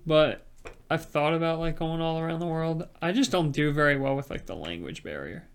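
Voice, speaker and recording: A man's voice: fairly high pitch, expressive, fairly quick, smooth. A man chatting casually as a podcast co-host, informal and tentative as he thinks aloud, with faint noise behind him. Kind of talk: casual chat.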